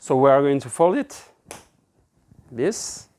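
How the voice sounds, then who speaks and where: A man with a French accent, a native French speaker from France, giving a relaxed, informal step-by-step cooking demonstration as an instructor in a kitchen. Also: mid-range voice, slow pace, background noise. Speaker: pizza-making instructor. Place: indoor kitchen with stone counter.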